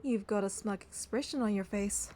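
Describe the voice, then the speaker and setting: A woman's voice: mid-range voice, normal speed, mellow, lightly acted. A woman voicing a young female hunter and bodyguard, narrating a romance game in an earnest, lightly teasing way. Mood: fond, playful. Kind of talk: scripted first-person game narration and dialogue.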